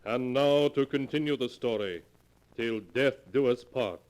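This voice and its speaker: An actor playing an ominous storyteller, narrating a 1940s radio tale in a solemn, dramatic, measured way. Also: male voice, medium pitch, a little mellow, soft background music, close to an everyday voice. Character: ominous storyteller reading from a sealed book. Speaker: announcer and narrator. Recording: noisy old 1940s radio recording.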